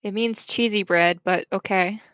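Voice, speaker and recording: A woman's voice: medium pitch, fairly even intonation, a little slow, fairly bright tone, no echo. A young woman hosting a casual livestream, chatting and reading out viewer comments, rambling between English and French. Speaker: livestream host. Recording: dull, dry home stream audio.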